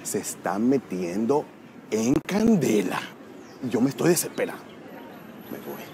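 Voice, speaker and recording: A man's voice: fairly low pitch, sing-song, unhurried, mellow. An actor playing a nervous, exasperated man with a Dominican accent, firing off questions at meddlers. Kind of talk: comedy film acting. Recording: clear background noise and ambience.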